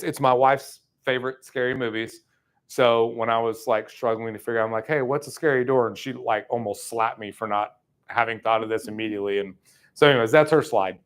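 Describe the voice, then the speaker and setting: A man's voice: medium pitch, a little fast. Man, 30s, sales trainer, casual chatty delivery to a room. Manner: lively, casual, chatty, many fillers. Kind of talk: live training talk.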